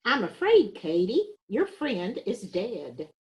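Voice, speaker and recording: A woman's voice: medium pitch, wildly swooping pitch, takes it slowly, dull audio. A woman playing an inquisitive, shrewd small-town doctor in an amateur readers theater mystery. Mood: matter-of-fact.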